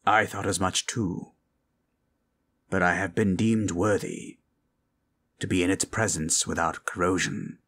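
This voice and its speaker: A grave sorcerer, played by a man, speaks very low and slowly, with a husky rasp. He is solemn and commanding, a dark figure turned to good in a fantasy ASMR roleplay. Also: fairly even intonation, full character voice.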